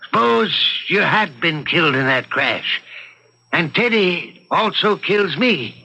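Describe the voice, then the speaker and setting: Stern older gentleman, pedantic, suspicious, very sharp forceful tone, high pitch, radio drama. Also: lively intonation, takes it slowly, creaky, breathy edge, highs cut off, heavily acted. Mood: pedantic, grim. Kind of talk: old-time radio mystery acting.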